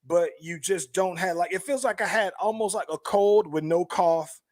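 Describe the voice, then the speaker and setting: A man hosting a podcast, groggy today, telling a long rambling story in a relaxed way and calling his listeners "man" and "bro". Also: high voice, moderate pace, dry recording, studio quality. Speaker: podcast host. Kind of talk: casual podcast chat.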